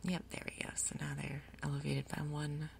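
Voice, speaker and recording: A woman giving soft, breathy, whispered ASMR commentary on a game, murmuring her thoughts aloud in an unhurried way. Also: low-pitched, completely flat delivery, noisy background. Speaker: gaming video host.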